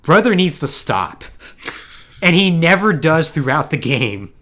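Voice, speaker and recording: A man's voice: a little high, unhurried. A man co-hosting a podcast, giving a casual, comedic plot recap in an emphatic, conversational way with wry asides. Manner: animated, emphatic storytelling. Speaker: podcast co-host.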